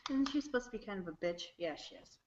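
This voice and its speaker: A woman's voice: mid-range voice, animated, fast. A woman chatting casually as a player in a tabletop roleplaying game. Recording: dull, quiet, faintly noisy distant recording.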